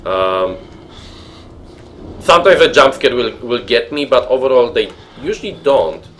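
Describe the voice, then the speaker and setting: A man's voice: mid-range voice, animated, a little slow, fairly bright tone. A young man from Poland with a Polish accent, streaming a horror game from a room at home, chatting with viewers and muttering nervously. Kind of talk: horror game commentary with chat.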